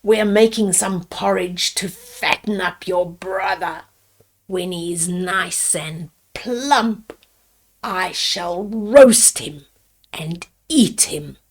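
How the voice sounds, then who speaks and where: Woman voicing a slow, bossy wicked old witch. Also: fairly low pitch, pitched below the performer's own voice, exaggerated voice. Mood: menacing, bossy. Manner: snappish, commanding. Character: wicked old witch, female, falsely kindly, bossy and cruel. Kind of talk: children's storybook read-aloud.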